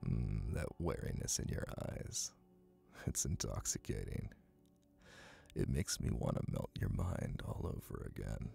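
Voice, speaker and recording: An exceptionally deep, crackling voice from a male actor plays a smug, dominant hypnotist boyfriend in an ASMR roleplay. He coaxes and teases intimately, unhurried and breathy, yet soothing. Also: dark tone, full character voice. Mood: reassuring, possessive. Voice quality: gravelly, breathy. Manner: slow, coaxing, teasing.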